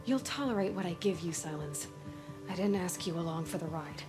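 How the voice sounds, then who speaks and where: A woman's voice: on the low side, fairly quick, music in the background, lightly acted. A woman playing a defiant, headstrong young huntress in a video game, blunt and sarcastic. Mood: defiant, wary. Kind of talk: dramatic video game dialogue.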